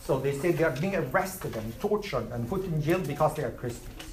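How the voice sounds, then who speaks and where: Guy telling a story to his class, kinda high voice, echoey room w faint hiss. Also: expressive, fairly quick, darkish tone. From Egypt. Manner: emphatic, repeats himself. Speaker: teacher.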